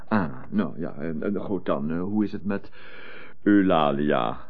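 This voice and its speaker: An actor playing a blustering, impatient count in a radio play, theatrical, with exclamations and hemming. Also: male voice, deep voice, lively intonation, measured pace, gravelly, heavily acted. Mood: grudging, irritated. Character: blustering, impatient count, jovial master of the castle. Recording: dull lo-fi old radio recording.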